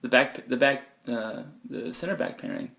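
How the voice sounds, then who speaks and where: A man's voice: mid-range voice, creaky, sharp tone. A man from Wisconsin with a light local accent, co-hosting a casual football podcast in a playful, teasing way. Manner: playful, teasing, quick to laugh. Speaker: podcast co-host.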